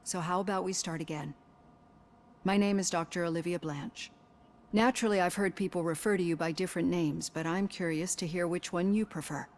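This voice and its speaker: An actress playing a composed, professional female psychologist in a video game, measured, tactful and polite but firm. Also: on the low side, steady tone, a little mellow, natural voice. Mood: calm, tactful. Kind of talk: video game cutscene acting.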